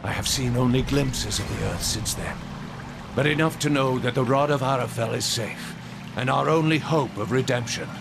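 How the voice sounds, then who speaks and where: An actor playing a proud, self-righteous ancient angel guardian in a video game, speaking in a solemn, grandiose, measured way. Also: male voice, medium pitch, creaky, background noise, close to an everyday voice. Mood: grave, solemn. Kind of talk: video game cutscene acting. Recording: music behind the voice.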